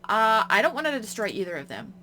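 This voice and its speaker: A woman playing a horror visual novel, reading its text in a hush and breaking off into casual reactions. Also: fairly high pitch, sing-song, quick pace, a little hiss or hum. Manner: hushed reading broken by chatty reactions. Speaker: game streamer. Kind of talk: reading a game's text aloud with casual commentary.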